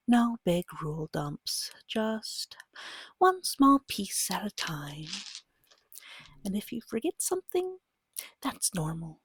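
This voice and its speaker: A woman speaking softly and breathily, often near a whisper, gently and patiently guiding a beginner as game master in an ASMR roleplay. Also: medium pitch, husky, no echo. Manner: gentle, patient, reassuring, unhurried. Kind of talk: soft-spoken ASMR roleplay tutorial.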